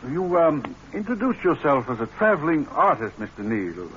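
An actor playing a hearty, insistent elderly millionaire in a 1940s radio drama, genial and a little halting. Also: male voice, a little high, sing-song, a bit bright. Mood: genial, generous. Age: older. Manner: hesitant fillers, genial, insistent. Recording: muffled old radio with faint noise.

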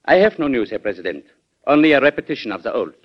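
An actor playing a polite, cautious German ambassador in an old radio drama, speaking formally and deferentially with a German accent. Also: male voice, mid-range voice, very animated, fast. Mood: polite, guarded. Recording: dull, band-limited vintage radio recording.

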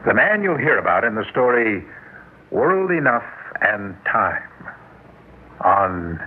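A man's voice: lowish voice, big pitch swings, takes it slowly. An actor playing a grave man introducing his own uncanny story in an old radio drama. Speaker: narrator and story's host. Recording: lo-fi old radio recording, hiss.

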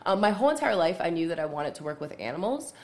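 Low-voiced woman in her thirties. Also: sing-song, fast talker. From Pittsburgh area, United States. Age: 30s. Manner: laughs often, many fillers like "um" and "like". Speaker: podcast guest, veterinary nurse. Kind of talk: casual podcast chat.

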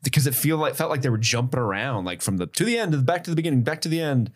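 A man co-hosting a podcast in casual chat, playful and quick to laugh. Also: mid-range voice, sing-song. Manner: playful, bursts into sung snippets and laughs. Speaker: podcast co-host.

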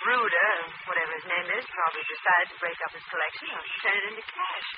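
A woman's voice: on the low side, lively intonation, quick pace, lightly acted. An actress playing a breezy, impulsive society woman in a 1940s radio drama. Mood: light, skeptical. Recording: muffled, noisy old radio recording.

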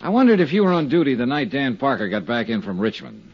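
A hard-boiled American investigator, shrewd and needling. His medium-pitched voice rises and falls sharply with dry wit. It sounds like a dull old radio drama recording. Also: very animated, fast talker, slight background noise. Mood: brisk, businesslike. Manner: wry, needling, probing. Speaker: lead detective and narrator. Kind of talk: 1950s radio drama acting with first-person narration.

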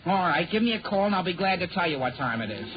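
A man's voice: sits high, very animated, fast. Eager, scheming teenage boy. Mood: earnest, cheerful. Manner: earnest, wheedling. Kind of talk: 1940s radio sitcom acting. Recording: muffled, noisy 1940 radio recording.

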